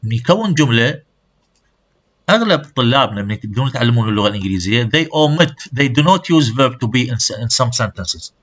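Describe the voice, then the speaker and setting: Guy, lecturing in a college class, lots of pitch movement, muffled lo-fi sound. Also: lively intonation, racing, fairly bright tone. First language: Arabic. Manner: explains terms and checks with students. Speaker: university lecturer. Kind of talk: lecture, switching between English and Arabic. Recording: dull lo-fi recording.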